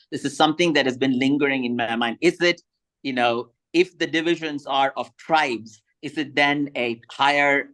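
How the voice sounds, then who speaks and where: A man's voice: medium pitch, a bit bright. A man whose first language is Tamil, giving a thoughtful slide presentation and rising in emphasis on key points.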